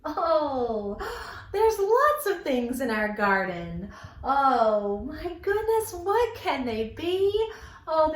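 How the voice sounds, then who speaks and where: A woman leading an interactive storytime for young children in an animated, encouraging, sing-song way. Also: a little high, roomy sound. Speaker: children's library storytime host.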